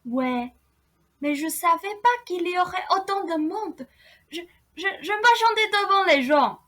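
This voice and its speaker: A woman's voice: very high voice, expressive, measured pace, fairly bright tone, full character voice. A woman playing a shy, anxious young boy prince in a radio play, speaking hesitantly. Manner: hesitant, timid.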